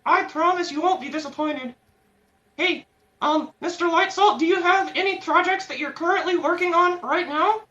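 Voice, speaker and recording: A woman's voice: very high-pitched, normal speed, sharp tone. A woman hosting a casual live-stream interview, enthusiastic and slangy. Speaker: show host. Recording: muffled lo-fi audio.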